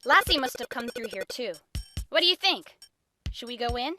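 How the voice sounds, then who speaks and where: A woman voicing a curious, hesitant young schoolgirl adventurer in a game fan dub, questioning and expressive. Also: normal speed, faint music behind. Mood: curious, unsure. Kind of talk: scripted fan dub acting. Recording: rumbling noisy home recording.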